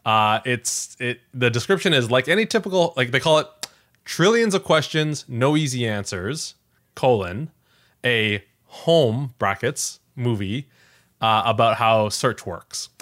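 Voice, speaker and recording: A man's voice: mid-range voice, lively intonation, a little slow, a bit bright. A man hosting a podcast in a casual chat style, conversational and often hedging as he thinks aloud. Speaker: podcast host.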